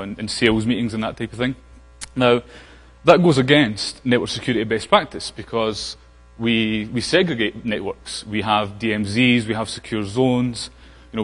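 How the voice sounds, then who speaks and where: A man with a Scottish accent giving a casual technical conference talk, full of fillers and restarts. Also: mid-range voice. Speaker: conference speaker. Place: large conference hall. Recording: long hall reverb.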